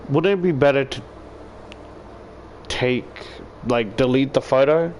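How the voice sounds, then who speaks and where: A man's voice: mid-range voice, a little slow, darkish tone, a little hiss or hum. A man reading a visual novel aloud as a YouTuber, stumbling now and then and adding casual commentary. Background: game music.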